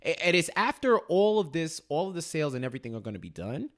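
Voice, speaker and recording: Higher-voiced man, emphatic podcast co-host. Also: very animated, fast talker. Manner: emphatic, repeats phrases for stress; colloquial, slangy. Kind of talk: casual TV recap discussion.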